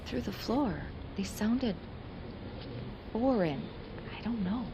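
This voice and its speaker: Lady doing prim skeptical lady academic, higher voice, lecturing, noisy background. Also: animated, slow pace. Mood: reluctant, uneasy. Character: prim, skeptical, scholarly woman university academic. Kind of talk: radio play acting.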